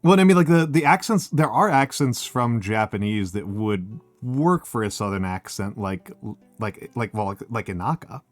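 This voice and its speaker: An American man chatting casually on a livestream, talking in halting bursts full of fillers and restarts. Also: on the low side, animated, dry recording, faint music behind. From United States. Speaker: streamer. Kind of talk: casual chat.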